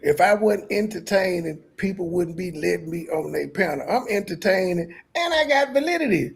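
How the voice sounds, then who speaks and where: A man's voice: high voice, animated, normal speed, creaky. A man on a livestream panel arguing bluntly and combatively, often tacking on "ma'am". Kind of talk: heated livestream panel talk.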